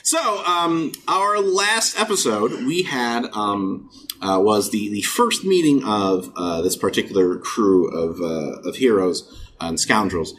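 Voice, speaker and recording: A man hosting and running a streamed tabletop role-playing game, pausing often with filler sounds between phrases. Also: on the low side, lively intonation, fairly bright tone, slight background noise. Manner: halting, many filler sounds. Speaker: game master.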